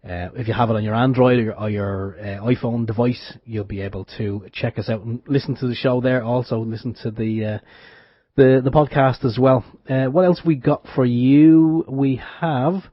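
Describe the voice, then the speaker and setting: A man's voice: medium pitch, steady tone, normal speed, no echo. A man co-hosting an internet radio show, talking casually as he gives out announcements. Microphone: close studio microphone. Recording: dull lo-fi internet radio stream.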